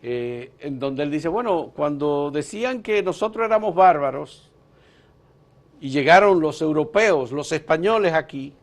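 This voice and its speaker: A man's voice: a little high, expressive, unhurried. A man hosting a political interview, posing long, leading questions and framing remarks.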